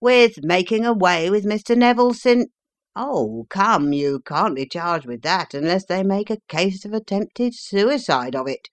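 A woman's voice: sits low, big pitch swings, slow pace, few pauses, exaggerated voice. A woman reading the part of a veteran police inspector, blunt and chuckling. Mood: jovial. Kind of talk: audiobook character reading.

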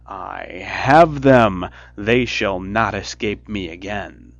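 A man doing a shrill wicked old witch. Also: takes it slowly, gravelly, dry recording. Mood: gloating. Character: wicked old female witch, cruel and scheming. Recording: dull lo-fi recording with faint music.

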